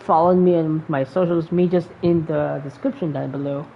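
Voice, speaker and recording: A woman's voice: sits low, fairly even intonation, normal speed, vocal fry. A woman with a Filipino accent giving casual, rambling reaction commentary as host of a gaming video. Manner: rambling, informal. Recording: muffled mic with faint noise. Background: faint noise.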